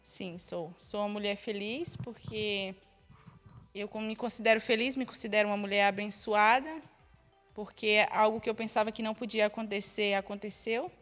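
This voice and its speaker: A woman's voice: mid-range voice, steady tone, normal speed, fairly bright tone, faint music behind. A Brazilian-accented woman in her early thirties, an interview guest telling personal stories casually. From northern Brazil. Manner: chuckles often while talking.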